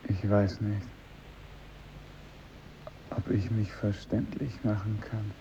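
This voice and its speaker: An actor playing a withdrawn, paranoid failed poet in a 1950s radio drama, hesitant and secretive. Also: male voice, fairly low pitch, little pitch movement, slow pace, noisy background. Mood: subdued, wary. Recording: muffled old radio recording.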